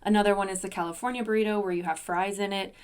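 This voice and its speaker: A woman's voice: medium pitch, steady tone, rapid-fire, clean tone. A young adult woman hosting a solo podcast, chatty, with self-deprecating asides. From United States. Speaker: podcast host.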